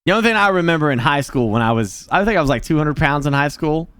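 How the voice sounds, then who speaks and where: A man in his forties talks casually to his viewers with a lively, swooping delivery, slightly higher than most men. His voice is close and dry, with no room sound around it. He jokes about himself with animated energy. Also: fast talker, clear, resonant tone, dry recording. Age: 40s. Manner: joking, animated, self-mocking. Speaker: streamer.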